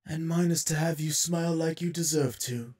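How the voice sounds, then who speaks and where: A fatherly lord from an anime audio drama played by a man. He is earnest and kind, with a fairly high voice for a man, recorded dry. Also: moderate pace, a little mellow, dry recording, close to an everyday voice. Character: kindly, earnest noble uncle and lord.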